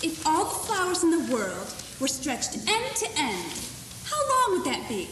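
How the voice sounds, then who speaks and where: Chirpy, whimsical young woman, played by a young actress, high and lively; distant, roomy live stage recording. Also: a little slow, heavily acted. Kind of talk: amateur stage acting. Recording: distant, echoey hall with audience noise.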